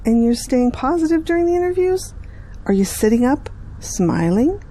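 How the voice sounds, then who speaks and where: A woman playing a patient, devout middle-aged mother, gently encouraging in an audio drama. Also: sits high, very animated, moderate pace. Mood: gently questioning.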